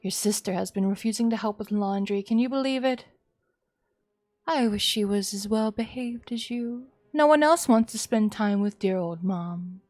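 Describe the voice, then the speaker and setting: A woman's voice: mid-range voice, moderate pace, a little mellow, natural voice. A woman voicing a doting, needy, strained mother in a horror visual novel, cooing and complaining emphatically. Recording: music behind the voice.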